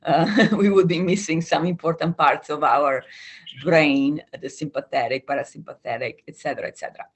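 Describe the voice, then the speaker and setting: Italian accented woman coach, calm and measured, explaining stuff on a video call. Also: deep voice, slightly rough. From Italy. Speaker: guest coach. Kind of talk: explanatory interview talk.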